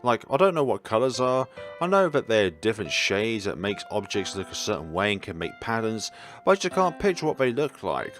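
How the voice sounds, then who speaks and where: A man voicing a gentle, shy blind schoolgirl in a visual novel, with an earnest, hesitant delivery. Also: fairly low pitch, very animated, a little fast, soft background music. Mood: open, pleased.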